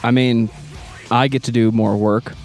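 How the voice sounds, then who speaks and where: A man's voice: medium pitch, level tone, unhurried, a little mellow, soft background music. A man co-hosting a podcast with casual, upbeat promotional patter. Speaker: podcast co-host.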